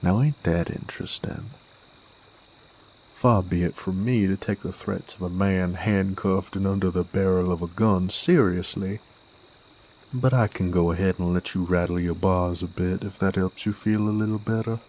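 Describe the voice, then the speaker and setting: A man playing a cocky, gruff werewolf bounty hunter in an Old West audio drama, with a folksy, taunting American Western drawl. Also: low voice, fairly even intonation, normal speed, a little mellow, slight background noise, lightly acted. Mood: amused, taunting. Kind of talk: roleplay audio drama monologue, addressed to a captive.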